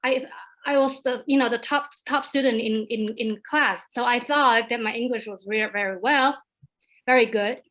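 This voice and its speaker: A woman in her thirties from China, speaking English as a second language, telling an animated story as a guest on an online alumni panel, with many repeated starts. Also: on the high side, moderate pace. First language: Chinese. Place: home, over a video call.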